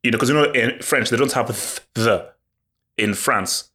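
A man co-hosting a casual football podcast, talking in an animated way and repeating words when he gets excited. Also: mid-range voice, normal speed. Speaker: podcast co-host.

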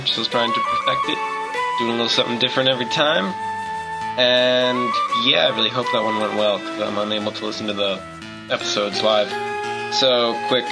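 A man hosting a casual podcast segment, reading out quick questions and shout-outs. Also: mid-range voice, low-quality recording. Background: guitar music.

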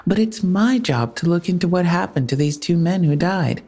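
A woman playing a composed police officer in an audio drama, measured and polite but unyielding. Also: on the low side, animated, warm tone. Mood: firm, composed. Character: firm, composed female police officer questioning a witness. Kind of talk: naturalistic audio drama dialogue.

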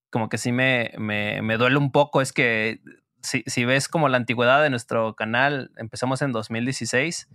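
A man's voice: mid-range voice, no echo, studio quality. A man with a Mexican accent chatting casually as a guest in an interview, slangy and full of fillers. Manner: relaxed, slangy, many fillers. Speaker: guest, co-creator of a Spanish-learning channel.

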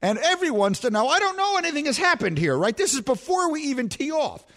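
A middle-aged man hosting a sports talk show, telling stories with animated self-mocking humor. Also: very high voice, fast talker. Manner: animated storytelling, laughs at himself.

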